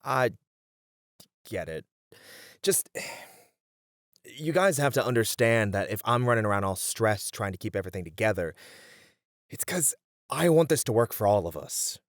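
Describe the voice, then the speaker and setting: A man's voice: on the low side, sing-song, a little slow, long pauses, dry recording, clean studio sound. A man acting an uptight, caring band manager, earnest and mildly exasperated, in an ASMR roleplay. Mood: earnest, relenting.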